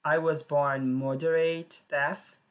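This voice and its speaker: A woman telling her own life story in plain, simple sentences with short pauses. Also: low-pitched, level tone. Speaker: personal storyteller. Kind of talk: first-person life story.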